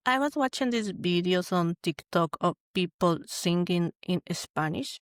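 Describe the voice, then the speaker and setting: Low, smooth-voiced woman. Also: animated, no echo, studio quality. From Mexico. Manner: halting, searching for words. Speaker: guest, metal journalist. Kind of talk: casual chat.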